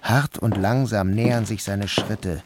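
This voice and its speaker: Male narrator, first person, measured, subdued, lowish voice, noisy hiss behind.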